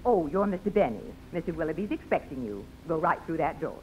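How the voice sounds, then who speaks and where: An actress playing a brisk, courteous office receptionist in a 1950s radio comedy, polite and formulaic. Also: female voice, low voice, sing-song, a little mellow. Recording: very noisy old radio recording.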